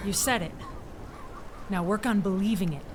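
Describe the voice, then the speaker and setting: A woman's voice: medium pitch, moderate pace, close to an everyday voice. Woman as a firm mountain guide, noisy ambience. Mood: advising. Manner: direct, coaching, insistent. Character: firm, caring older mountain guide, a bereaved parent. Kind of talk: family audio drama acting. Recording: clear background noise, outdoor ambience.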